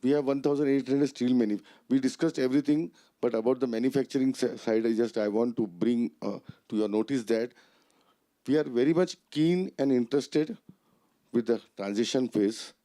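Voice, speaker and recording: Formal audience member with a mellow tone. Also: male voice, mid-range voice. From India. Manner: formal, halting. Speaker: audience member asking a question.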